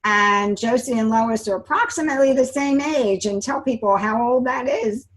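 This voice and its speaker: A senior woman hosts an online meeting over a video call. She speaks in a friendly way while keeping the call in order. Her voice sits a little high. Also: normal speed. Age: older. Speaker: host.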